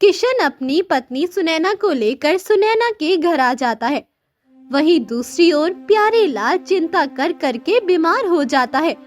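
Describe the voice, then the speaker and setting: High-pitched woman narrating a moral story. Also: expressive, unhurried, soft background music.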